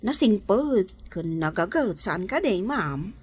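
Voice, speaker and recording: A woman playing a chatty, fussing, excitable household woman with an Ilocano accent in a radio drama. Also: mid-range voice, slow, slightly rough, exaggerated voice. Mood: chatty, musing.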